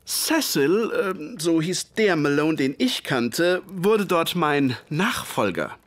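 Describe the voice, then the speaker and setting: A polite old-army doctor narrating a radio play, highish voice, really animated. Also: male voice, slow, dry recording. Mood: polite, guarded. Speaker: narrator and actor in an audio drama. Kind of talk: first-person scripted dramatic narration.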